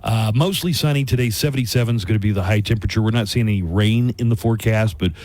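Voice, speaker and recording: A man's voice: fairly low pitch, fast, a little mellow, no echo. A middle-aged man hosting a morning radio show, chatting casually with wry, dry jokes. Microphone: studio microphone.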